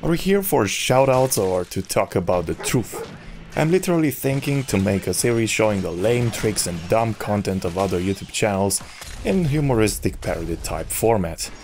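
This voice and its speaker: Low-voiced man, candid vlog with background noise. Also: darkish tone. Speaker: YouTuber who makes game videos. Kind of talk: personal vlog monologue to subscribers.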